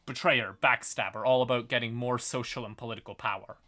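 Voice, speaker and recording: A man giving an informal livestream explainer on fantasy lore, halting and wry, with casual asides to his chat. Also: mid-range voice, fairly even intonation, pushed and bright.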